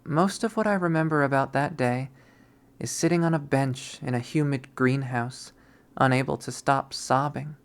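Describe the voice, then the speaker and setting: A reader voicing an obsessive, possessive young woman who collects insects, narrating in a measured, wistful, coldly sadistic way for a visual novel. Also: medium pitch, smooth, close to an everyday voice. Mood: bitter, wistful. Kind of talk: dramatic visual novel readthrough.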